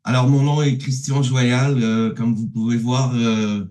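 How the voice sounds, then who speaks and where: A man with a Québécois French accent presents his research from home over a video call. He speaks in a mellow voice, stopping often with fillers and restarts. The sound is roomy and echoey. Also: medium pitch, flat delivery. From Quebec, Canada. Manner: halting, many fillers and restarts. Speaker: neuropsychologist giving a remote talk. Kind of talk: conference presentation. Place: at home, alone.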